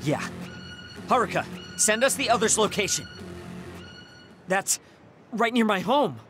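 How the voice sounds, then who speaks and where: An actor playing a proud, insecure young soldier in an anime video game, curt and defensive. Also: male voice, high-pitched, animated, unhurried. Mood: tense. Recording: loud music under voice. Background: game soundtrack music.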